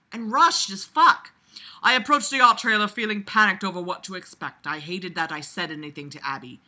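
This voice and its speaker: A person reading aloud and breaking off for casual, sarcastic running commentary, blunt, sweary and emphatic. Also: medium pitch. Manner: animated, emphatic, jumps from muttering to loud outbursts. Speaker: reader reviewing a book aloud.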